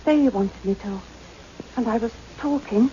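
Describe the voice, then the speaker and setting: An actress playing a frightened, dazed woman in a radio play, speaking in a halting, dreamy way. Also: fairly high pitch, mellow, lightly acted. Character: frightened, dazed woman recalling an eerie encounter. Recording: muffled, noisy radio play recording.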